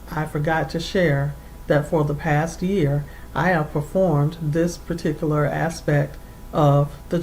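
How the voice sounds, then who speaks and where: Career coach for an advice segment: medium pitch, formal and deliberate, semi-scripted instruction, slight rumbling background noise. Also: darkish tone, rough recording.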